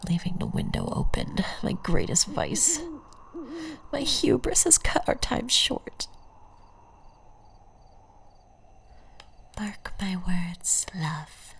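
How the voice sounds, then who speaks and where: A woman playing an obsessive, possessive stalker in an ASMR roleplay, whispering softly and breathily with tender menace and ornate, deliberate endearments. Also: medium pitch, very animated, gravelly, heavily acted. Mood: quietly menacing.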